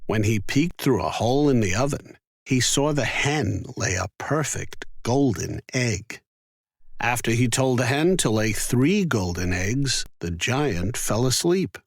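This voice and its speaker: A man narrating a scripted children's fairy tale in an expressive, careful storytelling manner. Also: medium pitch, unhurried, no room sound, clean studio sound.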